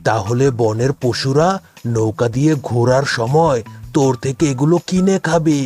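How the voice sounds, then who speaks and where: A man plays a commanding male animal in a cartoon. He speaks at a middling pitch with emphatic, drawn-out calls, over faint background music. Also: darkish tone, lightly acted. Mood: advising. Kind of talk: cartoon voice acting.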